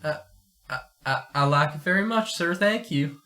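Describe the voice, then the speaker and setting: A man's voice: fairly high pitch, expressive, moderate pace, pushed and bright. A man voicing a polite, flustered young boy in a radio play, stammering and apologetic. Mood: nervous, shy. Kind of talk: amateur radio play acting.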